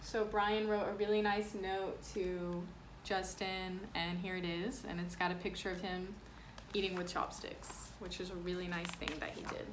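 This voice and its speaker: A woman's voice: fairly low pitch, flat delivery, normal speed. A woman reading a picture book aloud to children and explaining it in simple asides. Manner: plain, explanatory, child-directed phrasing. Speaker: read-aloud storyteller, teacher addressing children. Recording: clear background noise.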